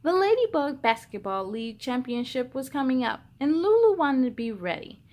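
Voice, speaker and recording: Woman, high voice, very lively intonation, playful children's storytime host, exclamatory delivery. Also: moderate pace. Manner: animated, exclamatory, playful with sound effects. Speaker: story time host reading picture books. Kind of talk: children's read-aloud storytelling.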